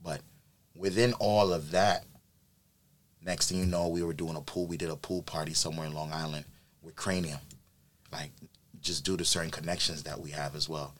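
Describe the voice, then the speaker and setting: A man's voice: rumbling low, moderate pace, long pauses. A man who grew up in New York, an event planner chatting casually on a podcast, telling his story with plenty of ums and likes. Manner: relaxed storytelling with many fillers.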